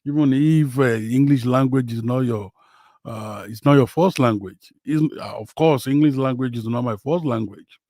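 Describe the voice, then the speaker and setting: A Nigerian man whose first language is Yoruba, hosting a casual football livestream from home, talking slowly with many fillers. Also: medium pitch, mellow, no room sound, studio quality. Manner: slow, hesitant, many fillers. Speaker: livestream host. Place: home, in Western Australia.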